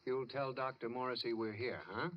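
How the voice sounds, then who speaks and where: An actor playing a wry, affectionate, reassuring husband in a 1940s radio drama. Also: male voice, medium pitch, steady tone, moderate pace, lightly acted. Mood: uneasy, wry. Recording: muffled, noisy old radio recording.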